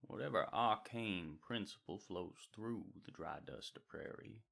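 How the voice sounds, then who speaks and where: A man's voice: on the low side, a little slow, creaky, fairly bright tone, heavily acted. A man playing a wandering bard who tells lyrical fantasy tales in florid, poetic phrasing for a radio drama. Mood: wistful, celebratory. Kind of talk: scripted radio drama storytelling.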